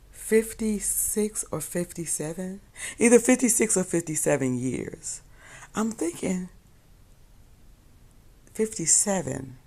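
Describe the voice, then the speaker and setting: A hoarse woman vlogger chatting casually to her viewers, rambling and correcting herself as she goes. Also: fairly low pitch. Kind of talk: casual chat to camera.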